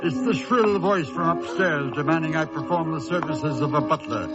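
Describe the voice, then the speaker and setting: An actor playing a henpecked, quietly murderous husband, polite and controlled, in a radio thriller. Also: male voice, a little high, normal speed, close to an everyday voice. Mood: cold resolve. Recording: dull lo-fi radio recording, music behind.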